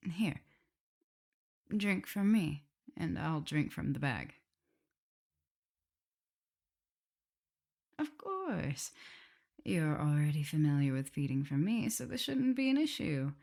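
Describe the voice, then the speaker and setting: Breathy, low-voiced vampire mistress played by a woman. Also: very animated, a little slow, pauses often, heavily acted. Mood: caring, coaxing. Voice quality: soft, breathy, often whispering. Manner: tender, playful, hesitant murmuring. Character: affectionate, teasing female vampire mistress, a prim teacher. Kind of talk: intimate ASMR roleplay addressed to the listener.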